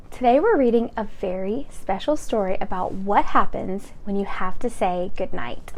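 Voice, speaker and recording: A young adult woman hosting a children's read-aloud channel, reading a picture book in a slow, gentle, sing-song storytime way. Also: mid-range voice, creaky.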